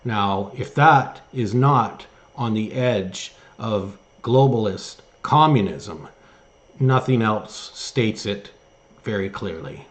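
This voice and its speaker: A man hosting an online news show, giving opinion commentary and reading articles aloud in a halting way with emphatic stress. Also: lowish voice, lively intonation. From Canada.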